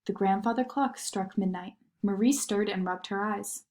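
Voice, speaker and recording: A woman narrating a children's story in an expressive read-aloud style. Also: mid-range voice, normal speed.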